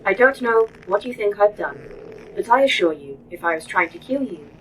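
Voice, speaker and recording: A woman's voice: medium pitch, expressive, normal speed, a little hiss or hum, lightly acted, poor audio. A woman voicing a proud, dry-witted, condescending artificial intelligence in a sci-fi audio drama. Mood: coolly defensive. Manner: precise, sardonic, condescending. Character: proud, dry-witted A.I. running a secret facility.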